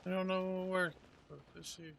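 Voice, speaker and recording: A man's voice: sits high, level tone, a little fast, creaky, a bit bright. A man narrating his own video game playthrough in casual commentary, thinking aloud in a laid-back way. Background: game music.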